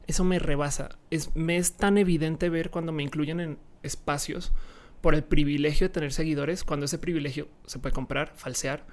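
A woman's voice: low-pitched, fairly even intonation, normal speed, darkish tone. A young woman from Colombia, a YouTuber guest, speaking frankly in a casual interview and slipping into acted quotes.

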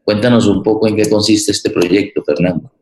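A man's voice: on the low side, steady tone, moderate pace, darkish tone. A man hosting a forum in informal, unscripted talk, recorded with a roomy echo. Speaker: interviewer, forum host. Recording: roomy, echoing sound.

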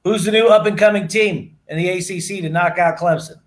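A man's voice: high-pitched, steady tone, a little fast. A man co-hosting a casual sports chat with a wry, teasing manner. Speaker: podcast co-host.

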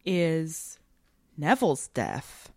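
A woman with a slightly low voice co-hosts a casual discussion podcast. She reasons her way through ideas informally, peppering them with filler words. The sound is very dry and close. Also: wildly swooping pitch, extremely slow, vocal fry, dry recording. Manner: analytical, informal with frequent "like". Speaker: podcast co-host. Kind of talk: casual conversational literary discussion.

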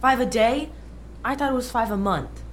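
A woman's voice: fairly high pitch, moderate pace, slight background noise, natural voice. A woman playing a wisecracking, skeptical American schoolchild in a radio play. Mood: cheeky, skeptical.

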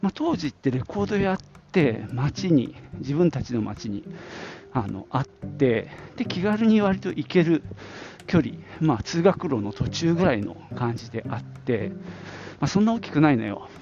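A man's voice: on the high side, sing-song, normal speed, darkish tone, highs cut off, faint music behind. A middle-aged man from the Nagoya area of Japan, talking casually on his own as a podcast host, in an informal, rambling way with little asides. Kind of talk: casual solo reminiscing.

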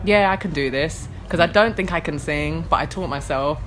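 Chatty London woman with a low voice. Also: normal speed, fairly bright tone, poor audio. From London, England. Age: late 20s. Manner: chatty and frank, laughs often, lots of fillers. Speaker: rapper and DJ guest. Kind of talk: casual interview chat.

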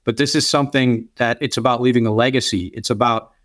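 A man hosting a podcast, with a voice of middling pitch. He talks in an affable, chatty way, asking open questions and circling back on himself. The sound is dry and close. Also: fairly even intonation, fast talker, a bit bright, no room sound. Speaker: podcast host. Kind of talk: podcast interview.

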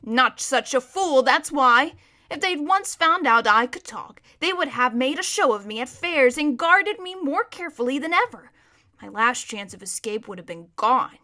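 A woman's voice: high-pitched, animated, normal speed, sharp tone. A woman reading a storybook as a proud, bossy but kindly male war horse, with an emphatic, lecturing manner. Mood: emphatic, impatient. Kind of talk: children's storybook character reading.

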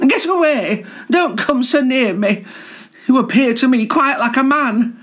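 A man's voice: very high-pitched, expressive, measured pace, fairly bright tone, voice pushed up, full character voice. A man voicing a shy, prim female garter in a storybook reading, fending off advances. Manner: prim, indignant refusals.

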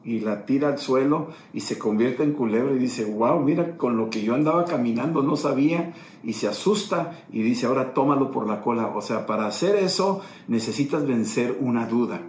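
A man's voice: medium pitch, expressive, normal speed, darkish tone, slight background noise. A middle-aged man pastor teaching a sermon, explaining and retelling scripture passages. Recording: echoey room, faint ambience.

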